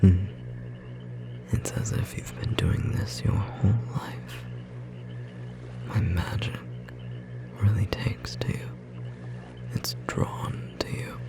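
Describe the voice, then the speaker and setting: A performer playing a tender, devoted magical bookstore clerk in an intimate ASMR romance roleplay, speaking in a gentle, slightly rough, breathy whisper with long pauses. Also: low-pitched, fairly even intonation, over music, full character voice. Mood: tender, awed.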